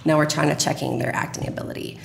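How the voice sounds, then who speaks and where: A woman in her thirties talks as an interview guest, explaining things conversationally. Her voice is low with plenty of fry, and the room around her sounds echoey. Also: steady tone, fast. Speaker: radio drama producer, interview guest. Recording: roomy, echoey, faint background noise.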